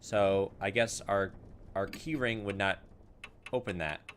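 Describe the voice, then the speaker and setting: A man doing casual gameplay commentary, thinking aloud in an informal, self-deprecating way and reading game text out loud. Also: on the low side, unhurried, slight background noise. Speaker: gaming video host.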